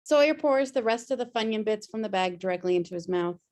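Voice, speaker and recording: A woman's voice: mid-range voice, animated, fast talker, a little mellow, a little hiss or hum. A woman narrating stage directions at a script table read. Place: remote video call.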